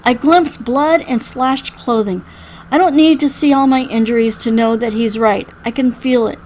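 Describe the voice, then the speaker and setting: A woman reading a first-person story aloud in an expressive, dramatic way. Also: on the high side, normal speed, slight background noise, low-quality recording. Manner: expressive, acts out the dialogue. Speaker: reader playing through a visual novel.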